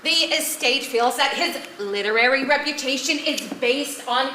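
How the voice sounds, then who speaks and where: An echoey, faintly noisy stage recording of a woman acting as a stubborn director. The voice is very high and theatrical, insisting bossily on an absurdist playwright's genius in a live amateur comedy. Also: normal speed, bright, forceful tone. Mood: grandly admiring.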